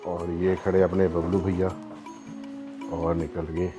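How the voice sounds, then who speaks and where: A man's voice: sits low, little pitch movement, slow pace. Chatty man vlogging with a sore throat. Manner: chatty, addresses viewers directly. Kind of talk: casual daily vlog narration. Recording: music playing loudly behind.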